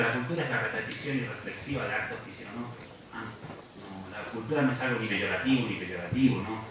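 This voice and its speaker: A man's voice: medium pitch, far from the mic, background noise. A man from Argentina giving a public lecture, speaking earnestly and reflectively, often restarting his phrases. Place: large university lecture hall. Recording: very echoey hall, voice off-mic.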